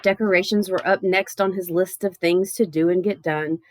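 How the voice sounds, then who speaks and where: Lady reading a kids picture book out loud, playful and expressive, really dry close sound. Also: on the low side, moderate pace, no echo. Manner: playful, expressive, theatrical emphasis. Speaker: storybook reader. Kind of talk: animated children's picture book read-aloud.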